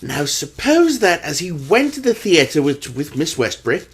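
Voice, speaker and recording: A man acts an earnest gentleman who is both sidekick and narrator of a radio mystery. His husky voice is a little high and moves in lively swings of pitch. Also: moderate pace. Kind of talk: radio play acting and narration.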